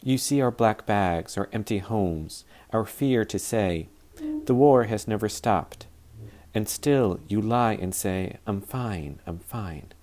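A man's voice: on the low side, takes it slowly, darkish tone. A man, a teacher reciting a poem aloud. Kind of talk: poetry reading.

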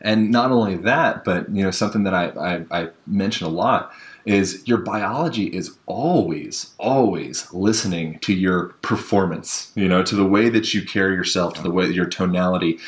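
Man, podcast host, casual interview chat, energetic, "you know" filler, slightly low voice. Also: normal speed. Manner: energetic, says "you know" often, mimics voices for emphasis. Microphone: phone or remote call.

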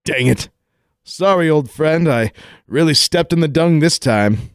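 Male actor as wry radio-play hero, folksy and sarcastic, lively delivery, close dry sound. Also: fairly high pitch, a little slow, no echo. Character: wisecracking, unflappable American adventurer hero. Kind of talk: comic 1940s-style radio play acting.

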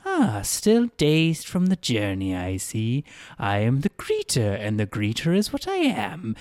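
A man's voice: medium pitch, darkish tone, no echo. A man voicing an odd, neckless male town greeter who is relentlessly cheerful and speaks in a sing-song, overly polite way in a storybook reading.

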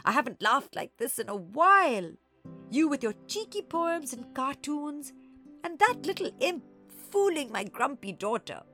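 A woman's voice: mid-range voice, lively intonation, moderate pace, fairly bright tone, soft background music, close to an everyday voice. A woman voicing a mischievous, gleeful old woman in a storybook, gasping and conspiratorial in manner. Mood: delighted. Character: mischievous, sputtering old woman, gleeful former schoolgirl prankster.

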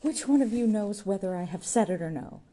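A woman voicing a proud, bitter young workman who declaims loudly and scornfully. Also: fairly low pitch, slow pace, slight background noise. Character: proud bitter young male stonemason, tipsy.

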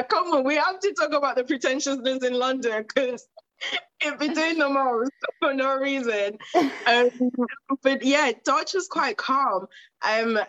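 A woman's voice: on the high side, fairly bright tone, dry recording, highs cut off. A young woman from Zimbabwe in her twenties, talking about her poetry in a rambling, casual interview full of fillers. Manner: rambling, with frequent fillers and laughs. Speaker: guest poet.

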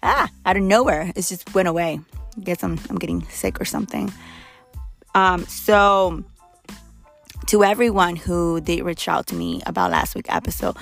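A young woman hosting her own podcast in a blunt, candid, chatty way, hoarse as she loses her voice. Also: on the low side, lively intonation, normal speed, a bit bright, soft background music. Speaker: podcast host. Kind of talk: casual solo podcast talk.

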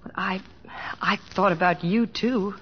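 An actress playing a gentle, devoted young bride, tender and earnest, in an old-time radio drama. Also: female voice, mid-range voice, measured pace, close to an everyday voice. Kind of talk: 1940s-50s radio drama acting and narration. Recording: dull old-time radio recording, music behind.

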